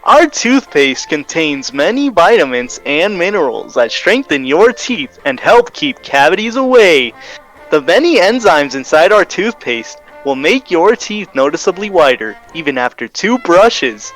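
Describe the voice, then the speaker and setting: A man playing an upbeat, cheeky pitchman in a 1920s-style radio commercial. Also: big pitch swings, a little slow, a bit bright, soft background music, rough recording. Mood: upbeat, promotional. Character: upbeat, suggestive toothpaste pitchman in a vintage radio advert.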